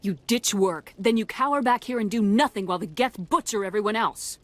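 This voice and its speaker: An actress playing a tough, blunt military commander in a video game, questioning people in a curt, confrontational manner. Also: female voice, medium pitch, fairly quick, no room sound, close to an everyday voice. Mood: scornful. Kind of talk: video game dialogue acting.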